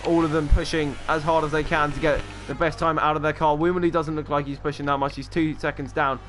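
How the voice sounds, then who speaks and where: A man commentating a sim racing qualifying session, calling laps quickly and excitedly, with offhand asides. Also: fairly high pitch, soft background music. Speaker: race commentator.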